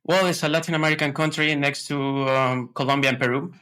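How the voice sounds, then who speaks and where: A man's voice: a little high, steady tone, fairly quick, fairly bright tone. A man from Ecuador, answering podcast questions in a hesitant way, with many fillers and restarts. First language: Spanish. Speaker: podcast guest. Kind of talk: casual interview answers.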